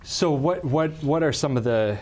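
A male TV health show host whose pitch moves in a lively way. He welcomes his guest and steers the talk with plain, guiding questions. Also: moderate pace, slight background noise. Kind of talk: hosting a medical interview.

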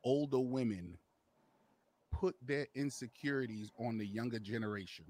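A man's voice: medium pitch, normal speed, darkish tone. A man in his late forties, joking and teasing in casual talk. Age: 40s. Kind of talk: casual banter on a podcast.

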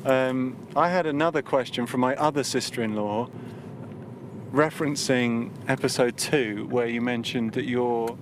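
A man's voice: medium pitch, measured pace. Late thirties guy, dry teasing chat in a car, engine noise behind. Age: 30s. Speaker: podcast host, teacher. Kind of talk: casual chat. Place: inside a car. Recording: noisy car interior.

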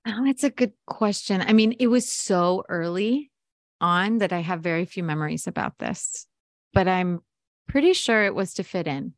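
A woman's voice: on the low side, normal speed, dry recording, studio quality. A woman from the United States, a podcast guest, telling stories in a casual interview and acting out quoted dialogue. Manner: lively storyteller, acts out quoted dialogue.

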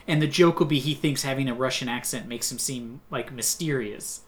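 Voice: medium pitch, brisk. A podcast co-host chatting casually about a film, telling the story of a first viewing and acting out past thoughts along the way. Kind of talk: casual movie review chat.

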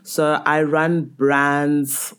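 A woman in her twenties who grew up in Botswana, a podcast guest who talks candidly and reacts quickly in a casual interview. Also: low voice, flat delivery, smooth. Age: 20s.

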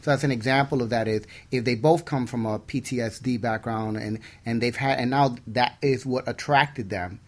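A man co-hosting a casual radio talk show, feeling his way somewhat haltingly toward his questions. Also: medium pitch.

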